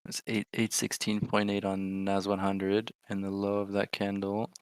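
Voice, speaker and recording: A man hosting a live trading stream, giving chatty, excitable commentary and thinking aloud as he reads his charts. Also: on the low side, normal speed, clear, resonant tone, dry recording.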